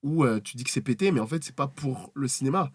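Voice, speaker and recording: A man from French Guiana talks quickly as a guest on a casual panel. His voice is mid-pitched and recorded dry and close, in the loose conversational style of a filmmaker among peers. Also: expressive, slightly rough, no room sound. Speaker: podcast guest, film director.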